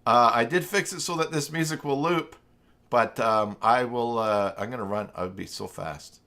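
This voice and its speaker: A man hosting a casual web design live stream, rambling and self-deprecating, his voice croaky from a recent illness with an occasional cough. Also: mid-range voice, normal speed, sharp tone.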